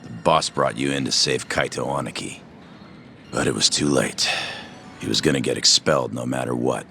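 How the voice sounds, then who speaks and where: Very deep, gravelly gangster. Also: male voice, normal speed, bright, forceful tone, soft background music, full character voice. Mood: regretful. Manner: gruff, resentful. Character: bitter, loyal low-voiced yakuza underling, man. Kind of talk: dramatic English game voice acting.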